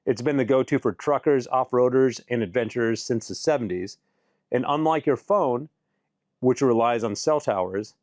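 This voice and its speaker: A man presenting a scripted explainer in clear, measured, instructional phrasing, as if into a close voice-over mic. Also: medium pitch, darkish tone, dry recording. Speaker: presenter of a radio shop's explainer video.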